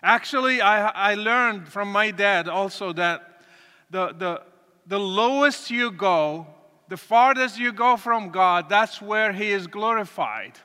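A man from Morocco, giving an earnest, deliberate testimony to an audience, with pauses and restarts. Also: high voice, bright, forceful tone, clean tone. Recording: reverberant hall.

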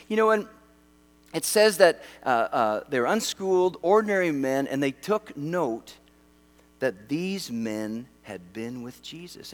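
Man, middle-aged pastor, from western canada, lively swinging delivery, slightly high voice, long church-hall reverb. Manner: animated, conversational, self-deprecating humor. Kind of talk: sermon.

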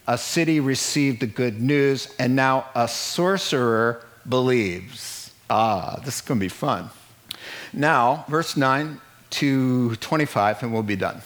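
A middle-aged man preaching a sermon in an animated way, acting out the people he quotes and laughing at his own jokes. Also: medium pitch. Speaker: pastor.

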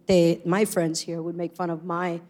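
A woman's voice: lowish voice, flat delivery, normal speed, warm tone. Earnest Puerto Rican woman sharing testimony. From Puerto Rico. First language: Spanish. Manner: earnest, reflective, with frequent ums. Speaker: church member sharing testimony. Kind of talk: personal testimony on stage.

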